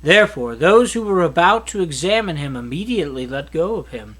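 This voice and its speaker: A man reading scripture aloud from a script, with expressive delivery of the narrative. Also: fairly high pitch, fairly quick. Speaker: Bible reader.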